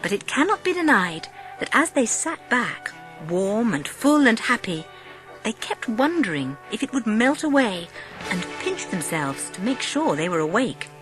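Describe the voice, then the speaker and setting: A woman narrating a dramatised storybook as an audiobook, with expressive, measured phrasing. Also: a little high, faint music behind, low-quality recording.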